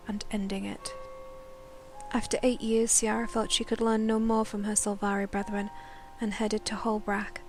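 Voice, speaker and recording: A woman reading scripted dramatic lore narration in a solemn storytelling manner. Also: medium pitch. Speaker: narrator of a game lore news chronicle. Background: background music.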